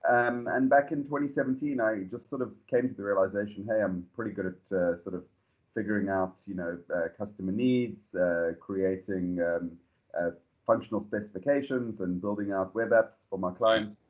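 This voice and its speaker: South African man, founder being interviewed, lowish voice, rambling delivery, dull lo-fi sound. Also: moderate pace. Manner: relaxed, rambling with many fillers and restarts. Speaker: startup founder and CEO. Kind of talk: podcast interview.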